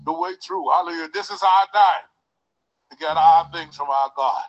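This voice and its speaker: A man's voice: fairly high pitch, moderate pace, lo-fi sound. A man leading a church service, preaching fervently with call-and-response and repeated hallelujahs. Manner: repeats hallelujah, rises to shouts.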